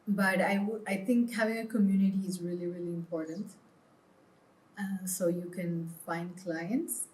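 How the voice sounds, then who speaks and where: A woman from Peru answers as a guest in an interview. Her voice sits a little lower than most women's, and she explains things earnestly in a conversational style. The recording is roomy, with clear echo around her words. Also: fairly even intonation, moderate pace, darkish tone. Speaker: interview guest, clothing brand founder. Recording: roomy, echoing sound.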